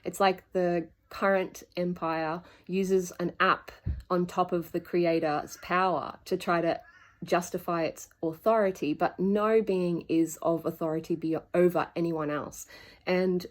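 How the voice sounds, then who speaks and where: A woman's voice: lowish voice, steady tone, a little slow. A woman talking alone about healing in an earnest, meandering way, often searching for words. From Solomon Islands. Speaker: spiritual healing channel host. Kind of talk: reflective solo monologue. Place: outdoors at dusk.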